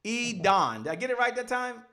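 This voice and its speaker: A middle-aged man with a fairly high voice hosts a relaxed interview from his home studio. He speaks into a close boom microphone and talks with lively energy, gesturing as he goes. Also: normal speed. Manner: animated, gestures with hands while talking. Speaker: show host. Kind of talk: casual green-room interview. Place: home studio with poster behind. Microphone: studio mic on boom arm.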